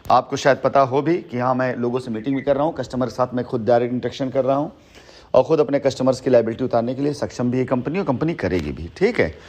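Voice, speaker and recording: A man's voice: medium pitch, fast, darkish tone. A man speaking assertively and at length on a recorded phone call, repeating himself for emphasis. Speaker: company head on a phone call with a former associate. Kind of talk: phone conversation in Hindi mixed with English words.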